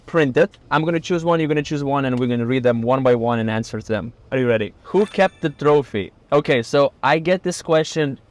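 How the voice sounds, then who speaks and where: A man's voice: mid-range voice, brisk, few pauses. A young man with a Lithuanian accent, a magician hosting a casual chat in a car. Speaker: magician and channel host.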